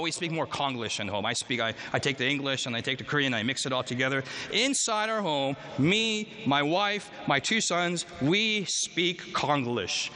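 A male preacher with a high, bright, forceful voice delivers an emphatic sermon and throws questions out to the congregation. His words ring out with a long reverb in a church hall, over a faint background noise. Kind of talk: sermon with scripture reading.

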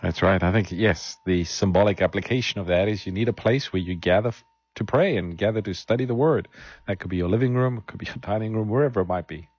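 A man co-hosting a Bible call-in radio show, introducing callers and announcing offers in a steady way. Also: lowish voice, fast, husky, no room sound, dull audio. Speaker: radio show co-host pastor.

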